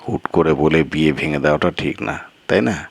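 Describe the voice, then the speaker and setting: A man voicing a crafty, meddling village man in an audio story, coaxing in drawn-out phrases and rhetorical questions. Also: rumbling low, dry recording. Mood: measured persuasion. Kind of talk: Bengali audio story character dialogue.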